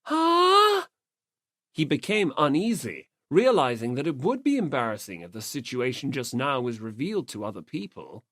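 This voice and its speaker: A woman's voice: very deep voice, very animated, fairly quick, few pauses, no echo, clean studio sound. A woman reading scripted story narration as an audiobook narrator.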